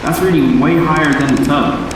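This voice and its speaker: A man's voice: a little high, normal speed, natural voice. Guy acting jittery young man, audio drama, big echoey space, noise behind him. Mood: uneasy. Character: nervous young man urging his friend to leave. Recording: roomy reverb, noisy rainy ambience.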